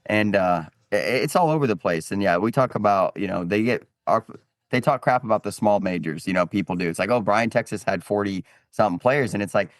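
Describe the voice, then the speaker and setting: Low-pitched American man, casual podcast talk. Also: brisk, no room sound, studio quality. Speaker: podcast guest, cornhole brand owner.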